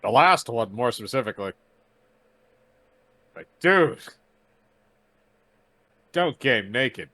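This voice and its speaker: A man's voice: medium pitch, expressive, takes it slowly, long pauses, pushed and bright, no room sound. A man chatting casually as co-commentator on a gaming stream, wry, slangy and given to exclamations. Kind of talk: casual banter.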